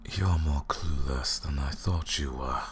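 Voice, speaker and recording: A man's voice: a bass voice, slight background noise. A man playing an ancient vampire lord, cold, mocking and condescending, in a theatrical, menacing roleplay monologue. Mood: cold condescension. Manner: slow, menacing, taunting, theatrical. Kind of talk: scripted ASMR roleplay monologue.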